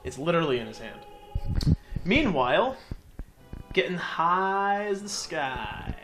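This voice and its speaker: A man giving casual, joking video game commentary, animated and a little profane. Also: fairly high pitch, slow pace, soft background music. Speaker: let's player and commentator.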